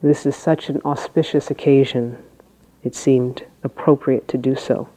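A woman Zen teacher with a low voice, giving an unhurried dharma talk with halting phrases and long pauses. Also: steady tone, mellow, slight background noise, low-quality recording.

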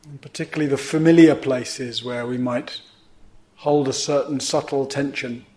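A man with a medium-pitched voice leads a guided meditation as its teacher. He gives gentle instructions in measured phrases. Pauses sit between the phrases, leaving space after each one. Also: fairly even intonation.

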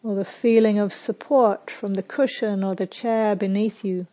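A woman meditation teacher guiding a sitting meditation in a soft, unhurried way with long pauses. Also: medium pitch, fairly even intonation. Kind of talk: guided meditation. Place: retreat center.

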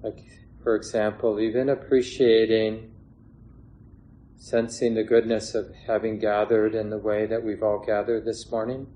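A man leading a guided meditation as a teacher, speaking gently in short phrases with long pauses between them. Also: on the low side, little pitch movement, poor audio. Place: meditation hall with a gathered group. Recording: clear background noise, room ambience.

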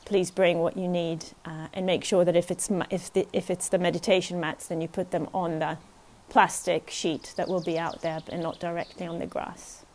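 A woman meditation teacher giving a gentle, unhurried talk at a retreat, often checking in with her listeners. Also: fairly low pitch, level tone, slight background noise.

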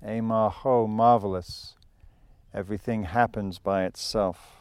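A man leading a guided meditation as a teacher, speaking calmly and unhurriedly with long pauses between phrases. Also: fairly low pitch, smooth, no echo. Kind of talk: guided meditation, reciting a contemplative text. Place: outdoors in nature. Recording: very noisy outdoor natural ambience, clicks.

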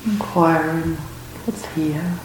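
A woman leading a guided meditation as a teacher, speaking gently and unhurriedly in short phrases with long pauses. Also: sits low, steady tone. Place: reverberant indoor room at a retreat. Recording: very echoey room, faint background noise.

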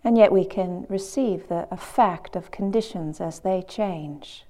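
Female meditation guide, slightly low voice, unhurried and gentle, leaving long gaps between measured phrases. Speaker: meditation teacher.

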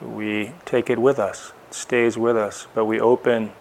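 Man guiding a slow, spacious meditation. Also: fairly low pitch, level tone, gravelly, darkish tone, slight background noise, rough recording. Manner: unhurried, spare phrases with long pauses. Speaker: meditation teacher. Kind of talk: guided meditation instruction.

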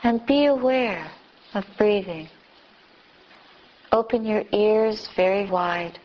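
A woman teacher leading a guided meditation with gentle instructions in short, spaced phrases. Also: mid-range voice, slow. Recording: dull, lo-fi recording.

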